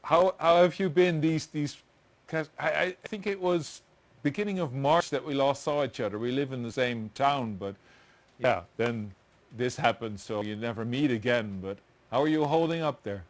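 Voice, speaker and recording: A man's voice: mid-range voice, moderate pace. A man hosting a live online interview, talking with many restarts and light chuckles. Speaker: host. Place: home set with doors open.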